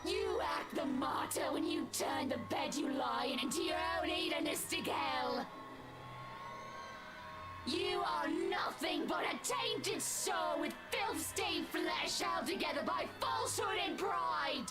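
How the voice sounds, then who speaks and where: Loud music surrounds a cruel female spirit from a horror game. She is high-pitched and draws out every accusation with preachy, theatrical scorn. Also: animated. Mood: furious condemnation. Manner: slow, scornful, preachy, melodramatic.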